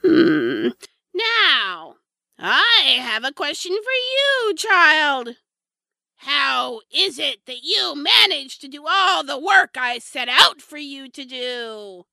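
Shrill, creaky old witch. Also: very animated, pushed and bright, no room sound, full character voice. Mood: menacing, sly. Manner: menacing, theatrical, drawn-out. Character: fierce cackling old witch Baba Yaga who eats people. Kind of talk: fairy-tale audio drama acting.

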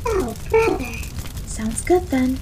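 A woman playing a sweet young maiden in a fairy-tale audio drama, earnest and expressive. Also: high voice, slow pace, background noise, exaggerated voice. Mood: skeptical.

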